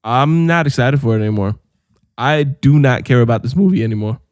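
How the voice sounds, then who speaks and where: A man's voice: mid-range voice, lively intonation, brisk, darkish tone, dry recording, studio quality. A young man co-hosting a podcast in casual chat, ranting and swearing freely and breaking into laughs mid-sentence. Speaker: podcast co-host.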